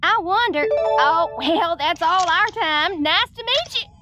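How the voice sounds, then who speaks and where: A woman's voice: extremely high pitch, expressive, takes it slowly, sharp tone, faint music behind, heavily acted. A woman playing a folksy, chatty alien widow and business boss with a Southern US accent, in an audio roleplay. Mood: friendly, flirty. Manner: folksy, chatty, self-assured.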